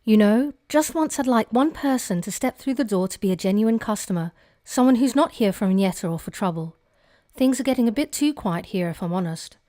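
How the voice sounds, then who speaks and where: A woman's voice: fairly high pitch, expressive, brisk, mellow, natural voice. A woman playing a put-upon, exasperated pub landlady in an audio drama, with a weary, grumbling manner. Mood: fed up.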